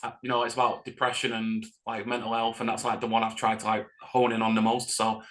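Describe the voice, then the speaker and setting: A man's voice: fairly low pitch, completely flat delivery, fast, a bit bright. A man who sings in a band, chatting casually and informally in an interview. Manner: informal, slangy, conversational. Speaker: band vocalist and lyricist.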